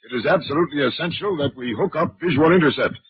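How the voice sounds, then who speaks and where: A man's voice: fairly high pitch, quick pace, a little hiss or hum, close to an everyday voice. A man playing a curt, ruthless alien military commander in a 1950s radio drama. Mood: stern, commanding. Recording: dull 1950s radio recording.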